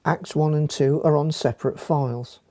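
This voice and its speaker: Male presenter for scripted introduction, mellow tone, slightly raised pitch, close dry sound. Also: fairly even intonation, normal speed, dry recording. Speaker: presenter introducing a recorded play. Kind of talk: scripted narration.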